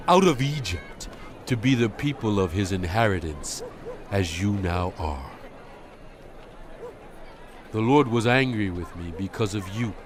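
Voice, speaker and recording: A man playing a solemn, stern prophet and leader exhorting his people in a measured, dramatized scripture reading. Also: lowish voice, slight background noise, natural voice. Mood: solemn, resigned. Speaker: Bible narrator.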